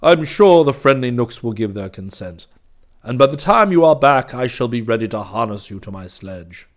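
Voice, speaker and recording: A man's voice: mid-range voice, lively intonation, moderate pace, lightly acted. A man voicing a merry, eager old toy maker in a hearty, cheerful way for a storybook reading.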